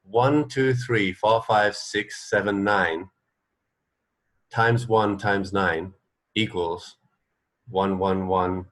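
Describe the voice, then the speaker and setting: A man co-hosting a radio show, chatting casually in an informal, halting way. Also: on the low side, steady tone, fairly bright tone. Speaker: radio co-host. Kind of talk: casual chat.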